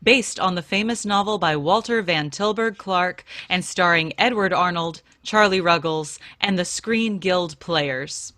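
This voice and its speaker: A woman's voice: on the low side, unhurried, hardly any pauses, fairly bright tone, slight background noise. A woman playing a formal, grand announcer on a 1950s radio drama show.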